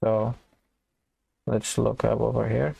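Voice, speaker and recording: A man giving a casual coding tutorial, thinking aloud and explaining step by step in an informal, halting way. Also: lowish voice, level tone, gravelly, mellow, breathy edge. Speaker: programming tutorial instructor.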